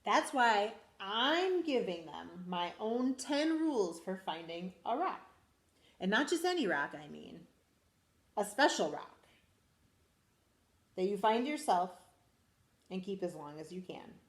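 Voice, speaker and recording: A school principal, a woman, reading a picture book aloud to children in a lively, expressive way. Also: mid-range voice, slow pace, pauses often, reverberant. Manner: animated, expressive storytelling to children.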